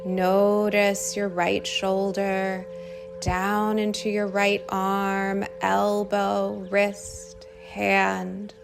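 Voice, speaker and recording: Very slow-speaking woman guiding meditation. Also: mid-range voice, completely flat delivery, creaky. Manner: soothing, unhurried, short phrases with long pauses. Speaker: meditation guide. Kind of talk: guided meditation. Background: singing bowl meditation music.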